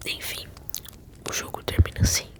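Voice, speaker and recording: A Brazilian woman telling a story in a soft, intimate, soothing ASMR whisper. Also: moderate pace. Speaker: ASMR video creator telling game stories.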